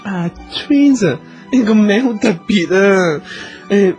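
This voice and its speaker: A man with a Hong Kong accent playing an excitable, fussy talent manager in a comic radio drama, hesitant and flustered. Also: sits high, takes it slowly, soft background music. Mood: businesslike, probing. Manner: hesitant, flustered, full of exclamations.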